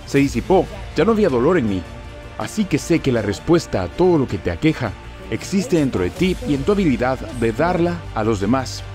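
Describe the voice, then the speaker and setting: Earnest man preaching motivation, lively pitch. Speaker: motivational speaker. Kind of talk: dubbed motivational speech. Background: soft background music.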